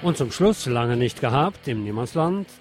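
A man's voice: mid-range voice, lively intonation, moderate pace, over loud music. A man reading scripted news as a radio host. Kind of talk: scripted news narration over music.

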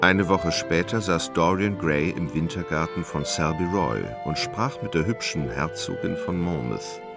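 A man narrating a literary radio drama in a measured, dramatic way. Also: deep voice, vocal fry, over loud music.

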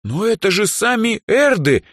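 A male narrator plays an excitable young dark elf woman from a fantasy audiobook. Her voice is pitched high and swings freely as she teases and boasts, every phrase bright with party-girl enthusiasm. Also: moderate pace, no echo, studio quality. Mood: excited. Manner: excitable, teasing, exclamatory.

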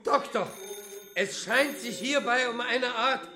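Flustered, very high-voiced male secretary. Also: takes it slowly, a crowd in the background. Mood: embarrassed, flustered. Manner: stammering, apologetic. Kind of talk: German radio play acting.

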